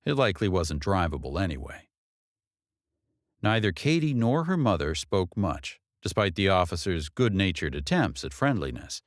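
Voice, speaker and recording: Deep-voiced man narrating a novel, expressive range. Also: normal speed, no echo, clean studio sound. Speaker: audiobook narrator.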